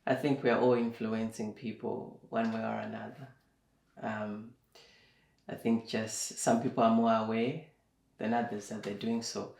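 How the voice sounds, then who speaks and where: A young man from Zimbabwe, a guest in a casual interview, speaking slowly and thoughtfully, with plenty of fillers. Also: fairly low pitch, little pitch movement, creaky, a little mellow. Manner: slow and reflective, with many fillers. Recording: echoey room.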